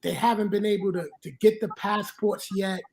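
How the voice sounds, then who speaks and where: A man's voice: sits high, steady tone, moderate pace, creaky, a little mellow, a little hiss or hum. A man hosting a travel podcast, asking a guest conversational questions. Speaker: podcast host. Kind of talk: podcast interview.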